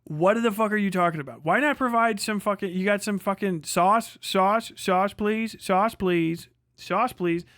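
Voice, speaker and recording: A man's voice: sits high, unhurried, hardly any pauses, fairly bright tone, no room sound, clean studio sound. A man livestreaming heated off-the-cuff commentary, swearing freely, combative and repeating phrases for emphasis. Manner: profane, combative, ranting. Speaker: livestreamer reacting to a video.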